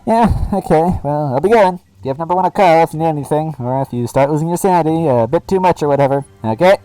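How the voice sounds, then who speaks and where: A man's voice: a little high, quick pace, dark tone, smooth, no echo, pitched above the performer's own voice, close to an everyday voice, poor audio. A man voicing a nervous, goofy male hooded minion who stammers. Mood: jittery.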